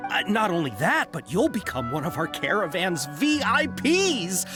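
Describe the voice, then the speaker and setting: Flustered caravan merchant. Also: male voice, high-pitched, sing-song, moderate pace. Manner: hesitant, rambling, apologetic. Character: nervous male caravan merchant. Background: game music.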